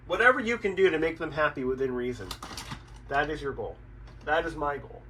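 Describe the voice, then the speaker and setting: A man's voice: on the high side, fairly bright tone. A man chatting casually and thinking aloud as he works through a repair, often asking himself questions. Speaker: radio repairer presenting own video. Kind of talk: casual chat while doing a repair.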